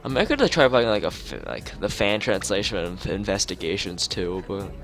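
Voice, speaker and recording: Man, low voice, lively swooping intonation, game read-aloud, casual rambling asides, stumbles over words, faint music. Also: fairly quick, creaky. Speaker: streamer playing and reading a visual novel.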